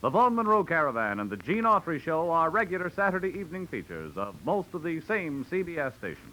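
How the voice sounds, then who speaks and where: A man's voice: a little high, big pitch swings, brisk. An American man announcing in a polished, promotional 1940s network radio style. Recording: noisy old 1940s radio recording.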